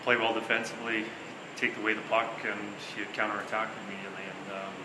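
A man in his thirties, a hockey head coach answering postgame questions in a measured, hesitant way with many fillers and restarts. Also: on the low side, level tone. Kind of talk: postgame press conference. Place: small interview room before a sponsor backdrop. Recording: echoey room with loud air-conditioning hum.

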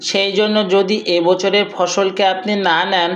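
A man's voice: high-pitched, level tone, normal speed, a bit bright. A man voicing a humble, desperate poor sharecropper in a cartoon, deferential and pleading. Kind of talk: cartoon story acting.

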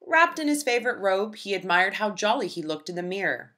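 A woman reading a children's storybook aloud with expressive, dramatic emphasis. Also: medium pitch, fairly quick. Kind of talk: expressive scripted read-aloud narration for young children.

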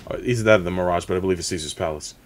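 A man in his thirties doing casual reaction commentary from a home studio, excitable and swearing as he cheers along. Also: sits low, rapid-fire, a little hiss or hum. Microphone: studio mic close up.

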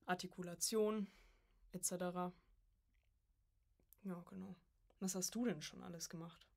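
A woman's voice: on the low side, steady tone, measured pace, creaky. A young woman chatting casually as a guest on a podcast, a student of voice acting.

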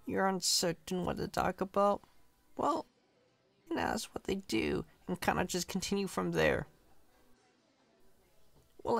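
A man's voice: sits high, gravelly, fairly bright tone, no room sound, full character voice. A man playing a chatty, cocky, friendly company employee in an ASMR roleplay, casual, reassuring and playfully boastful.

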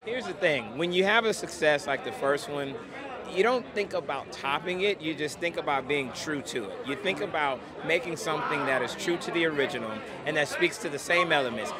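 A man's voice: on the high side, animated, brisk. Upbeat man on a noisy red carpet. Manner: upbeat, enthusiastic. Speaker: film producer. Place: outdoor red carpet premiere, noisy crowd. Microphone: handheld interview mic held close. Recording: loud crowd chatter behind.

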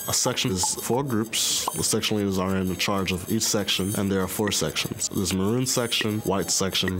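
A young man from Guyana speaking as a student leader in a documentary voice-over, calmly explaining. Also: low voice, a little hiss or hum. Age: young adult. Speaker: student, senior group leader.

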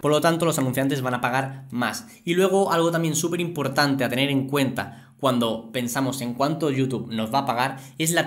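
A young man with an accent from Spain presents an online explainer. His voice sits at a middle pitch, and he stresses key words as he walks the viewer through things step by step. It is recorded indoors in a small home studio. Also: fairly bright tone. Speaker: YouTuber presenting a finance channel. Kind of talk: explainer to camera.

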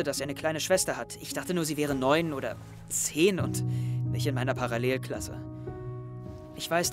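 A man's voice: fairly high pitch, close to an everyday voice. A young man playing an anxious, wounded, suspicious teenage schoolboy in an audio drama, speaking intensely. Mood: hurt, reflective. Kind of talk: German audio drama acting, confessional narration and dialogue.

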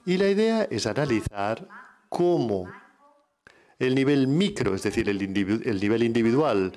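A man giving a simultaneous interpretation of an academic conference lecture, measured and often correcting himself mid-phrase. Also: mid-range voice, animated, a little mellow. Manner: measured, with frequent self-corrections.

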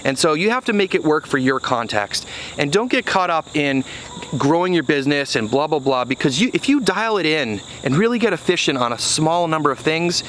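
Earnest farmer talking outdoors to camera. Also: male voice, on the high side, big pitch swings, brisk, a bit bright, slight background noise. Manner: earnest, conversational, many "you know" fillers. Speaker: farmer vlogger. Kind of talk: casual to-camera talk. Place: outdoors on a small farm field. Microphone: camera mic at arm's length, then on a tripod.

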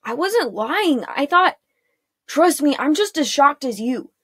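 A woman's voice: on the high side, animated, moderate pace, a bit bright, dry recording, clean studio sound. A woman voicing a cheeky orphan boy in a storybook, earnest and defensive with a teasing bravado.

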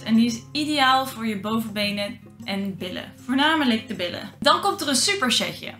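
A woman's voice: a little high, bright, forceful tone, echoey room. A young woman in her twenties from the Netherlands, chatty and explaining her gym routine step by step to the camera in a small home studio. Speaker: fitness vlogger.

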